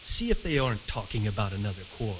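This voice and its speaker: A man playing a wary, troubled medieval lord in a video game, speaking with measured authority. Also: fairly low pitch, sing-song, a little hiss or hum, low-quality recording. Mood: considering, commanding. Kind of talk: cinematic game dialogue.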